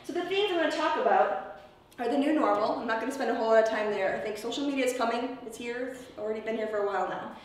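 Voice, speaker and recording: A woman's voice: a little high, rapid-fire, few pauses. A woman giving an informal conference presentation in a conversational way, checking in with her listeners through short tag questions. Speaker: presenter at a camp leadership workshop. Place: indoor room, presenting to a live group. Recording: echoey room from far off, faint noise.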